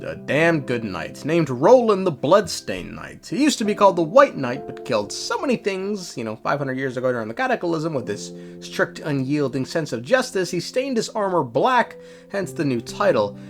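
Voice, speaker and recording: A man with a slightly high voice delivers casual video commentary. His intonation swings in a lively way, and his manner is irreverent and sardonic. Faint music plays behind him. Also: normal speed. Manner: irreverent, sweary, sardonic asides. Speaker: video creator recapping a game story.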